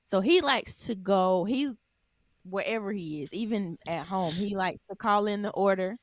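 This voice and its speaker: A woman's voice: medium pitch, normal speed, no echo. A woman co-hosting a casual podcast, telling a story in a rambling way with many ums and restarts. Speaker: podcast co-host. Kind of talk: casual chat.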